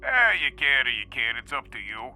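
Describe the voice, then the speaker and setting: A breezy, informal male researcher in an audio drama, played by an actor. His voice is very bright and forceful, at a middle pitch, and he prods casually. Soft music plays underneath. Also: big pitch swings, moderate pace, exaggerated voice, low-quality recording. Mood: nudging. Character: brash, informal male researcher running tests on an anomaly.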